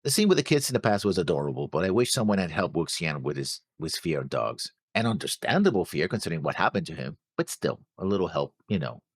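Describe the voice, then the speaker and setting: Super deep raspy guy rambling casually, voice jumps around a lot, kinda fry-y. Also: big pitch swings, fast, hardly any pauses, no room sound, clean studio sound. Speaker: reaction show co-host. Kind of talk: casual discussion, reading out notes.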